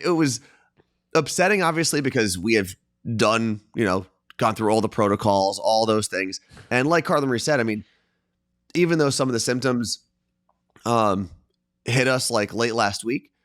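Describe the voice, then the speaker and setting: A man's voice: on the low side, a bit bright, no room sound, clean studio sound. A man co-hosting from where he is staying, talking in a laid-back, hesitant way over a video call. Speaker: co-host. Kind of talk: casual chat. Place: staying in Florida.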